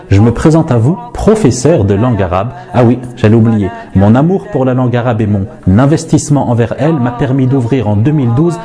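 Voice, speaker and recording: A man's voice: mid-range voice, dark tone. A man in his forties from France, an Arabic teacher, introducing himself in a chatty, self-mocking yet earnest way. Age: 40s. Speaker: Arabic teacher and institute director.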